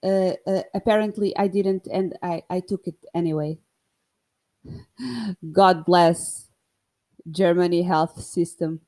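A woman's voice: lowish voice, little pitch movement, takes it slowly, long pauses, dark tone. A woman with a Portuguese accent, a native Portuguese speaker, telling a story casually in English with self-mocking humor and hesitant restarts. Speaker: vlogger.

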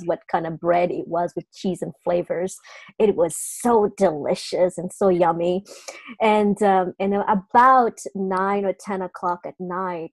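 A middle-aged woman, English her second language, slowly recounting her arrival as a refugee, with pauses and restarts. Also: on the low side, no room sound, low-quality recording. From a war-torn country, came as refugee. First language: not English. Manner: slow, halting, with restarts. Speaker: guest. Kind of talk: personal storytelling in conversation.

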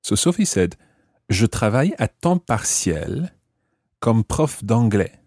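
A man presents a language lesson in a low, husky voice. He explains phrase by phrase and repeats things deliberately. The sound is dry and close. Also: very animated, a little mellow, dry recording. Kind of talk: explaining French grammar phrase by phrase.

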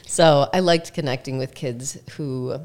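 A woman's voice: extremely low pitch, unhurried, a little mellow. A woman guest on a podcast, a former teacher, telling stories in a chatty way with many fillers. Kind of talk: casual interview storytelling.

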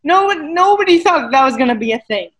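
Woman, high-pitched voice, fitness coach, interview guest, animated, emphatic. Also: quick pace, poor audio. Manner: animated, emphatic, keeps asking "right?". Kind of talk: casual interview conversation.

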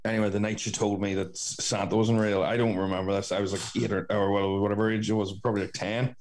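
A Northern Irish man with a fairly low voice hosts a casual podcast. He tells anecdotes in an animated, conversational way and swears freely. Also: fast talker, a little hiss or hum. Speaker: podcast host. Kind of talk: casual chat, telling childhood anecdotes.

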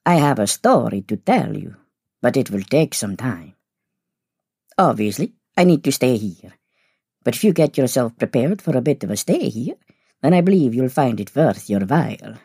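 A woman playing an old, chatty, genteel male vampire in an audio story, husky and creaky, with arch, exclamatory, deliberate phrasing. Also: rumbling low, very animated, warm tone, no echo, studio quality, heavily acted. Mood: theatrical, inviting. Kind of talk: acted audio story dialogue.

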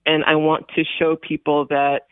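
A woman's voice: sits low, completely flat delivery, moderate pace, dry recording. A woman in her forties whose first language is Hebrew, speaking earnestly as a political candidate and guest, repeating phrases for emphasis. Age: 40s. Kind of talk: phone interview on radio. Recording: phone line.

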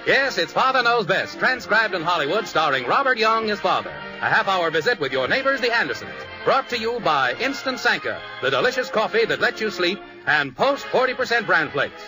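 High-pitched, animated vintage radio announcer man. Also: a little fast, hardly any pauses, rough recording. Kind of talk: 1950s radio scripted announcing. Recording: music bed behind voice. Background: music.

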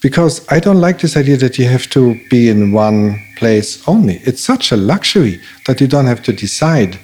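A man who grew up in Belgium, a native German speaker, talking casually and telling stories in a halting way, with many restarts. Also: mid-range voice. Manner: halting, restarts often, animated storytelling. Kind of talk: casual conversation.

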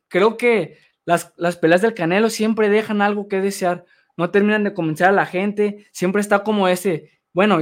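A man co-hosting a sports show, giving a measured, analytical take. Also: sits high.

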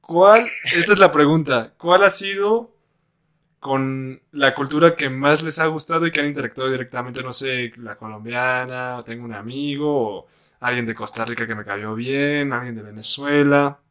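A man's voice: mid-range voice, moderate pace, fairly bright tone. A man with a Mexican accent hosting a casual podcast chat, slangy and laid-back, asking his guests questions. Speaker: podcast host.

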